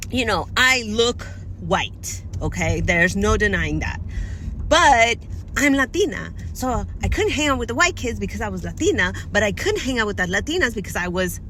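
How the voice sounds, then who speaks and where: A woman's voice: a little high, sharp tone, slight background noise, low-quality recording. A young adult woman from the United States, talking frankly and in frustration straight to camera, swearing freely as she vents. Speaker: vlogger. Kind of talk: casual personal monologue.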